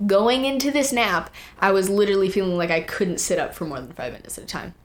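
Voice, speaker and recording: A teenage young woman, a guest singer, telling stories in casual, animated chat full of quoted asides. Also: medium pitch, fast.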